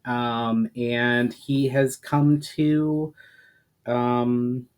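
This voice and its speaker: A man with a medium-pitched voice speaks as an author presenting his own fiction. His delivery is casual and a little hesitant in conversation, and measured and dramatic when he narrates as a storyteller. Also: flat delivery, darkish tone, clear, resonant tone. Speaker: author reading own book. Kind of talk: casual chat intro, then dramatic audiobook-style narration.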